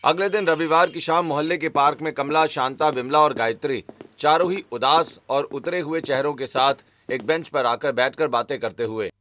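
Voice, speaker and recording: A man's voice: fairly high pitch, moderate pace, bright, forceful tone. A man reading scripted narration for a cartoon family story.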